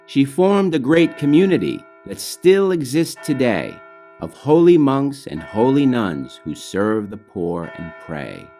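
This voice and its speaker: Male reader for children's rhyming picture book: slow and measured, lively melodic delivery, light music underneath. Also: medium pitch. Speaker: author reading own children's book. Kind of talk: storybook verse reading.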